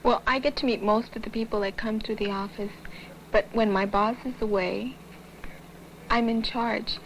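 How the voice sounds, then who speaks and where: A woman's voice: fairly high pitch, steady tone, normal speed, a little hiss or hum, poor audio. A woman working as a secretary, speaking in a documentary interview on an old training film soundtrack.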